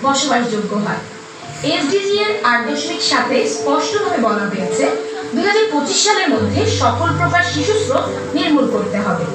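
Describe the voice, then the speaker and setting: A woman acts as a caring but firm social worker preaching to villagers in a puppet play. Her high voice swings widely and echoes strongly over music, as if some way from the microphone. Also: big pitch swings, normal speed. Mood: rallying. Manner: emphatic, preachy. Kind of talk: scripted puppet show dialogue, awareness speech. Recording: very echoey, distant, music behind.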